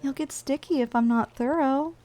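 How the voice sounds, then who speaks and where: A woman's voice: high voice, moderate pace, dark tone, slight background noise, close to an everyday voice. A woman voicing a playful, lazy giantess office worker in an intimate ASMR roleplay, coaxing and casually condescending as she speaks straight to the listener.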